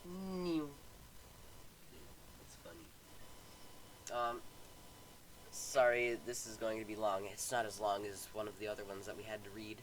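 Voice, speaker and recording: A man's voice: lowish voice, unhurried, pauses often, a little hiss or hum, poor audio. A man casually reading online stories aloud on a home microphone, stumbling over words and stopping to comment. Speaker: story reader.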